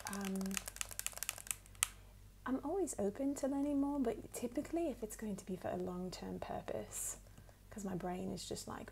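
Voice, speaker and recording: A woman from the United States with a put-on English accent, speaking softly and at times whispering as she unhurriedly answers questions for an ASMR video. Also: mid-range voice, vocal fry, a little hiss or hum, poor audio. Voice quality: soft, partly whispered.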